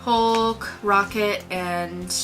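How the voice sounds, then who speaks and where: Bright-voiced woman chatting about movies. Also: medium pitch, steady tone, drawn-out words, faint music behind, poor audio. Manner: enthusiastic, informal slangy talk. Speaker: movie review co-host. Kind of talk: casual movie review chat.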